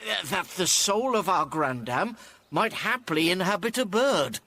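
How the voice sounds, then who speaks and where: An actor playing a wronged gentleman locked in darkness, stammering and pleading his sanity in a classical radio drama. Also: high-pitched, animated, measured pace, a little hiss or hum, full character voice. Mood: insistent. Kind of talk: classical radio drama acting in Shakespearean English.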